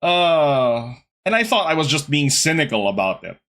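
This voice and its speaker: A young man hosting a casual gaming podcast, talking to his chat in an animated, exasperated way. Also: fairly high pitch, normal speed. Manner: animated, exasperated ranting with laughs. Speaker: podcast host.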